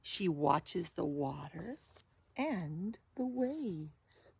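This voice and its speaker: A woman reading a picture book aloud to young children as a teacher, gently and with sing-song, exaggerated expression, often addressing them directly. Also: lowish voice, big pitch swings, drawn-out words, vocal fry, husky.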